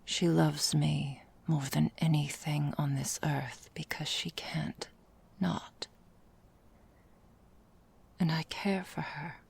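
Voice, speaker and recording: Woman doing an ancient vampire mistress for asmr, super creaky and low, possessive n melancholy, close dry whispery sound. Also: steady tone, a little mellow, exaggerated voice. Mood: regretful, hushed. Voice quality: breathy, whispery, gravelly. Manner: slow, formal, theatrical, deliberate. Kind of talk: ASMR roleplay monologue.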